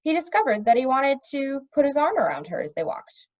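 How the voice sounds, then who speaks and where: A woman reading a children's fantasy novel aloud as an expressive storybook narrator. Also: sits high, fairly quick. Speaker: storybook reader. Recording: dull, lo-fi recording.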